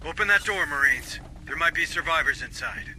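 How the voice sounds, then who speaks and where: A man's voice: a little high, lively intonation, fairly quick, noisy background, natural voice. A man playing a wry marine sergeant. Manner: dry, sarcastic, commanding. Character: wry gruff male marine sergeant, middle-aged. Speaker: marine sergeant leading a squad. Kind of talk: video game dialogue.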